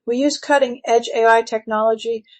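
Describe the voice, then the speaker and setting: A woman's voice: a little high, fairly even intonation, moderate pace, natural voice. A woman playing a composed, reassuring grief-therapy doctor in a screenplay reading, speaking in a formal, placating way.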